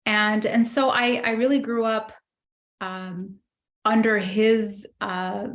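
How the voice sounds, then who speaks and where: A woman's voice: mid-range voice, level tone, slow. Egyptian-born woman telling her story. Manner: thoughtful, many fillers and restarts, warm recollection. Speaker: interview guest. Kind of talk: reflective personal storytelling.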